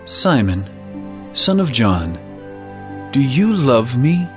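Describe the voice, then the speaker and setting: A gentle, tender Jesus, put on by a male reader in a dramatized scripture reading. He speaks slowly and with careful weight, and his pitch rises and falls a lot. Soft music plays behind him. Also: very animated. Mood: calm.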